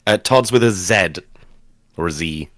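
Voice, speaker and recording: A man's voice: sits low, lively intonation, a little slow, fairly bright tone, no room sound, clean studio sound. A man trading casual, joking banter with other performers on a livestream.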